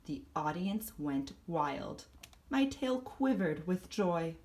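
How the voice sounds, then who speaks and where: Animated woman reading a children's story. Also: on the low side. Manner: animated, deliberate, acting out the story. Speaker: storybook reader. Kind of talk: expressive children's read-aloud, first-person story narration.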